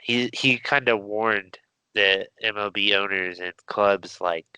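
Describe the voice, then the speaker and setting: A man co-hosting a podcast in relaxed casual chat. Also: fairly low pitch, slow pace, clean tone, dry recording, poor audio. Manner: relaxed, backchannels a lot. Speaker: podcast co-host.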